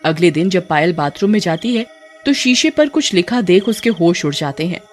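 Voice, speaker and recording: A woman's voice: lowish voice, lively intonation, moderate pace, soft background music. A woman storyteller delivering scripted horror story narration.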